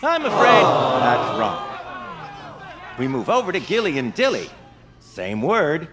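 Animated, cheery radio quiz host. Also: male voice, a little high, slow, a crowd in the background, heavily acted. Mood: politely apologetic. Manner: politely officious. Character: cheerful, unflappable male radio game-show host. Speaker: game show host. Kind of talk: showy quiz-show presenting in audio drama.

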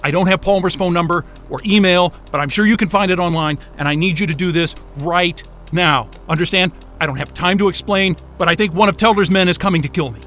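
A man's voice: sits high, a little fast, few pauses, fairly bright tone, soft background music. An actor playing a panicked, sweary man stranded at a polar station in an audio drama, urgent and exasperated. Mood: desperate, urgent.

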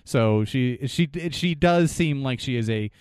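A man's voice: medium pitch, lively intonation, unhurried, darkish tone, clean tone, no room sound, studio quality. A man with a New England accent co-hosting a podcast, chatting casually and rambling with fillers. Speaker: podcast co-host.

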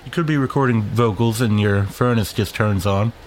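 A man's voice: on the low side, normal speed. Casual rambling man, audible background noise. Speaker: sound engineer interviewee. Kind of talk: casual interview chat.

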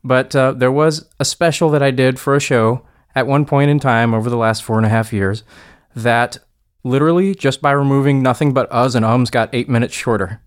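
An easygoing man explains his audio editing process in casual podcast chat. He is relaxed and a bit self-deprecating, with a middle-of-the-road pitch. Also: a little fast.

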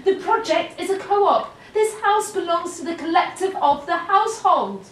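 A woman playing a bored, weary and indignant wife in an audio drama, exasperated and halting as she repeats her words. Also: extremely high pitch, expressive, fairly bright tone. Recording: roomy, distant mic with faint noise.

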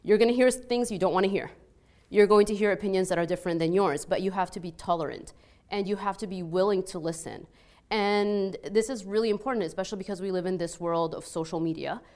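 A woman's voice: medium pitch, darkish tone. An Arabic-speaking woman from Egypt with a Canadian-influenced accent, speaking personally and earnestly on a panel, peppering her talk with "like" and checking in with "right?". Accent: Canadian-influenced with an Egyptian background. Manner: conversational and earnest, frequent 'like' and tag questions. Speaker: panel speaker, peace scholar. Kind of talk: personal testimony at a panel discussion.

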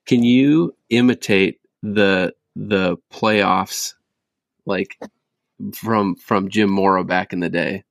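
A man's voice: deep voice, slow pace, no room sound. A man hosting a casual sports podcast, laid-back and stumbling through restarts. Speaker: podcast host. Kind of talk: casual chat.

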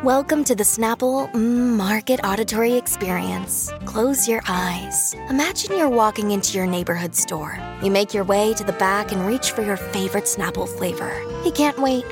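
A woman's voice: medium pitch, moderate pace, hardly any pauses, music in the background. A woman announcer reading an advertisement as a guided-imagery narration.

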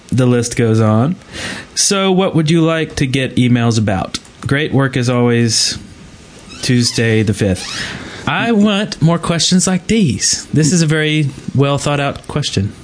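Mid-pitched man hosting a casual podcast. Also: expressive, unhurried. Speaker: podcast host reading listener questions.

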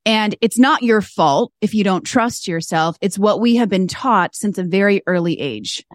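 A woman guest on a podcast interview, talking about business in an animated, emphatic way and building her points through repeated phrases. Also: medium pitch, normal speed, dry recording, clean studio sound. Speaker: guest, fashion stylist and business mentor.

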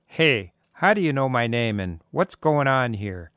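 A man's voice: mid-range voice, big pitch swings, a little slow, a bit bright, smooth, no echo, studio quality. A man voicing a dazed, exhausted man stranded in the desert, hesitant and bewildered.

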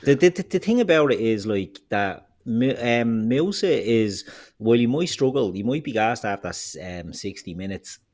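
A man's voice: mid-range voice, expressive, normal speed, few pauses, darkish tone. A man co-hosting a casual football chat, rambling and changing his mind as he talks.

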